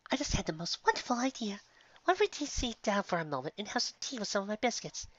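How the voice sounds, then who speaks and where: A woman's voice: mid-range voice, very animated, fast talker, fairly bright tone, a little hiss or hum, voice pushed up. Woman doing a sweet motherly pony shopkeeper. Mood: soothing. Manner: sweet, doting, calls everyone dear. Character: gentle motherly mare candy-shop owner. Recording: slightly muffled recording.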